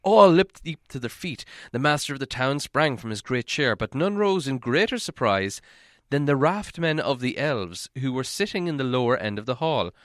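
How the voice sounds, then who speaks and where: Male audiobook narrator, fantasy storytelling, dramatic, animated intonation, dry recording. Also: medium pitch, moderate pace, no echo.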